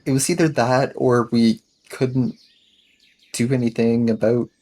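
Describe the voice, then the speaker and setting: A matter-of-fact, defensive schoolboy, played by a man in a tabletop mystery game. The voice is mid-pitched and husky, with short, halting phrases. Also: fairly even intonation, darkish tone, close to an everyday voice. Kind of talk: improvised in-character tabletop roleplay.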